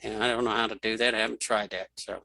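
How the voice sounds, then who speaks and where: Bright-voiced man teaching software casually. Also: lowish voice, steady tone, fast talker, gravelly. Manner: informal, thinking aloud while demonstrating. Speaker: screen reader tutorial instructor. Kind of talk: casual spoken software walkthrough.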